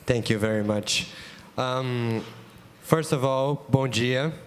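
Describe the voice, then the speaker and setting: A young man with a Brazilian Portuguese accent speaks earnestly on a conference panel, his mid-pitched voice echoing through a big hall. A faint room hum sits underneath, and he fills his pauses with ums and restarts. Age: young adult. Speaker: youth ambassador panelist. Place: large conference auditorium.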